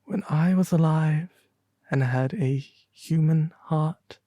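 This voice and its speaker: A man voicing a sorrowful, gentle statue of a prince, breathy and halting, in a soft storybook reading. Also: a little high, dry recording. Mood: mournful. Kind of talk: soft storybook character acting.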